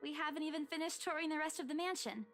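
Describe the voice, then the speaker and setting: A man's voice: very high-pitched, fast talker, a bit bright, soft background music, voice pushed up. A man voicing a young female estate agent who is polite but stammers when flustered. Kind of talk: voicing a visual novel.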